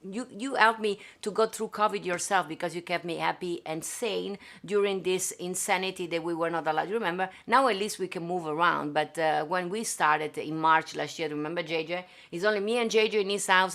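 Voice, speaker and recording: A woman's voice: fairly low pitch, fairly bright tone. A woman from Venice with an Italian accent, hosting a casual livestream and greeting viewers effusively. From Italy (Venice). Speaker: singer and livestream host. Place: home studio.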